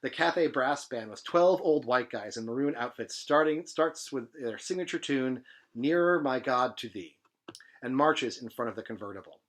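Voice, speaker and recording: Guy reading a novel out loud, medium pitch, expressive and a bit dramatic, emphatic phrasing. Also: normal speed, few pauses. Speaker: presenter reading a novel aloud.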